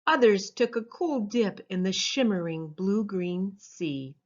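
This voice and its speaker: A woman narrates a children's story with an expressive, unhurried delivery. Her pitch is average for a woman, and the recording is somewhat dull and lo-fi. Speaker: author reading a storybook. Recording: dull lo-fi sound.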